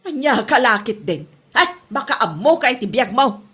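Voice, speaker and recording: A woman's voice: fairly high pitch, very animated, normal speed, fairly bright tone, breathy edge, exaggerated voice. A woman playing a bossy, scolding mother in a comedy drama.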